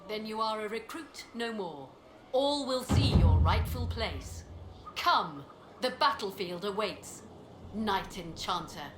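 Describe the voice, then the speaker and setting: An actress playing a stern mentor and commander who speaks solemnly and ceremonially. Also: female voice, medium pitch, lively intonation, slow pace, over loud music. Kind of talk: video game dialogue acting. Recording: echoey game capture, clear background noise.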